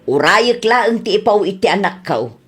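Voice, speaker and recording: A woman's voice: medium pitch, very animated, moderate pace, fairly bright tone, breathy edge. A woman voicing a chatty customer at a rice store in a radio drama. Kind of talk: Ilocano radio drama acting.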